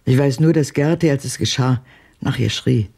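An actress playing a world-weary, evasive woman in her eighties in a radio drama, halting and brooding, with a husky voice that sinks toward whispers. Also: extremely low pitch, dark tone, heavily acted. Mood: brooding, curt. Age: 80s. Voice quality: husky, breathy, dropping to whispers.

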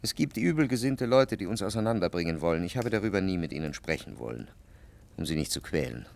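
A jealous young lover in a literary radio play. His voice sits low, and he speaks with urgent, reproachful intensity. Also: sing-song, fast, creaky, darkish tone, full character voice. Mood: agitated, reproachful. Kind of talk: German literary radio drama.

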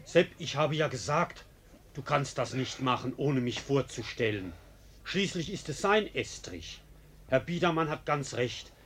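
An actor playing a falsely polite, mock-reasonable arsonist in a German radio play, with an ingratiating manner. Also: male voice, mid-range voice, animated, a little slow, fairly bright tone, slight background noise. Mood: falsely apologetic.

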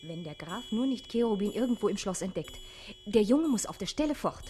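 A woman's voice: medium pitch, animated, a little fast, warm tone, soft background music, close to an everyday voice. An actress playing a resolute, conspiratorial noble lady in a radio comedy, speaking in a refined, decisive and urgent manner. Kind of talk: classic German radio play comedy acting.

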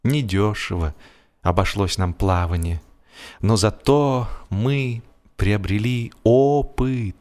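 A man's voice: fairly low pitch, a little mellow. A man reading a humorous story as scripted audiobook narration, expressively, drawing out the ends of his phrases.

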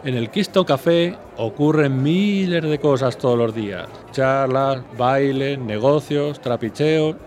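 An actor playing a worldly café bartender in an audio drama, unhurried, chatty, familiar and knowing. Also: male voice, mid-range voice, a little mellow, a faint crowd, natural voice. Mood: knowing, wry.

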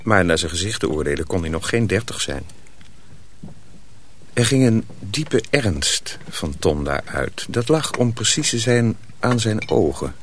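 Animated male storyteller, suspenseful delivery. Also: fairly low pitch, slight background noise. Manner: deliberate, suspenseful. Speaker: narrator. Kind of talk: dramatic scripted story narration.

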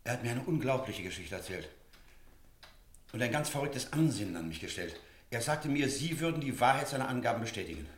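An actor playing an energetic, rational village priest in a German radio drama, speaking in a decisive, emphatic way. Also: male voice, medium pitch, a little fast, slight background noise, natural voice. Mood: agitated, urgent. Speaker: character in a radio play.

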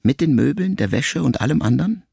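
A man's voice: on the high side, animated, brisk, a little mellow, no echo, studio quality, voice pushed up, full character voice. Man putting on a frail old mother. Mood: questioning. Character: frail, strong-willed ninety-year-old mother. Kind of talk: audiobook character voice. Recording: music clearly under the voice.

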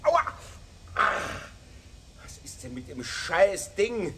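An actor playing a crude, irritable, bullying pimp in a radio crime drama, impatient and swearing. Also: male voice, fairly high pitch, huge pitch swings, takes it slowly, fairly bright tone, a little hiss or hum, exaggerated voice. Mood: irritated, abusive.

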